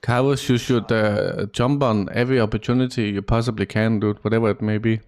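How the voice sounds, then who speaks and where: A man's voice: lowish voice, steady tone, a little fast, a little mellow. A man in casual chat who presses his points insistently.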